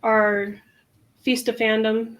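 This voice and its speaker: A woman co-hosting a podcast, talking casually with many pauses and fillers. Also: a little high, level tone. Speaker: podcast co-host. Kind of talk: casual chat.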